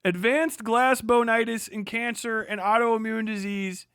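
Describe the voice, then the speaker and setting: High voiced guy on a stream, sarcastic sweary rant, really dry close sound. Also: fairly even intonation, measured pace, a bit bright, clear, resonant tone, no room sound. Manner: sarcastic, exasperated ranting. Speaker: livestream host. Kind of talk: profane mocking reaction commentary.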